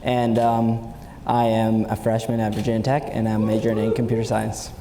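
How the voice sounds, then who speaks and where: A man's voice: lowish voice, monotone, moderate pace. A young man from El Salvador, a student answering on a stage panel, plain and hesitant. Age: young adult. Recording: roomy hall with audience, faint noise.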